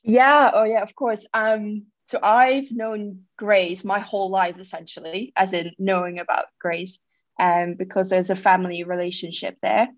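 A woman's voice: mid-range voice, flat delivery, dry recording, lo-fi sound. Woman, hesitant chatty guest. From Netherlands. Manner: thoughtful, with frequent "um" pauses. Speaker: guest, company founder. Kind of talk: casual interview chat.

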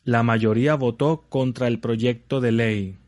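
Measured male voice reading translations. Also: mid-range voice, steady tone, darkish tone, no room sound, clean studio sound. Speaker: language lesson translator. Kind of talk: reading translated phrases for learners.

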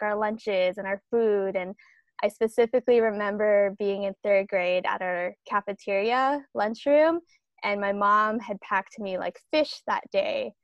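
A woman's voice: mid-range voice, no room sound, dull audio. Reflective young woman, dry sound. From Los Angeles. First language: Tagalog. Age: young adult. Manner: reflective, storytelling. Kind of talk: casual conversation.

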